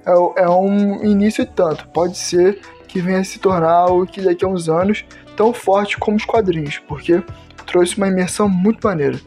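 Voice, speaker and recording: A man's voice: sits high, steady tone, moderate pace, a little mellow, soft background music. A Brazilian-accented man giving casual recommendations as a podcast panelist.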